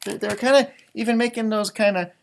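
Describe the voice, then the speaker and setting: A man's voice: high voice, fairly quick, gravelly, slight background noise. A man reading a storybook aloud to children as a teacher, expressively, with informal explanatory asides. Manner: expressive, explains words and pictures simply for children.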